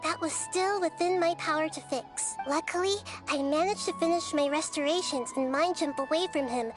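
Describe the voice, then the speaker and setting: A woman playing a small childlike goddess in a video game, gentle, composed and articulate, explaining things in measured phrases. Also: high-pitched, over music, lightly acted. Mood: calm explaining. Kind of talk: video game character acting.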